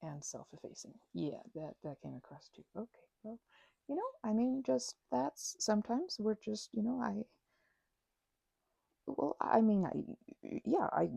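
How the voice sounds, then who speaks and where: Casting: woman to play a nervous, self-effacing female vampire for ASMR roleplay; stammering, breathy delivery, very close and dry sound. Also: mid-range voice, lively intonation, warm tone, no room sound, heavily acted. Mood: embarrassed, flustered. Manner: stammering, halting, self-effacing, often dropping to a whisper. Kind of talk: intimate ASMR audio roleplay.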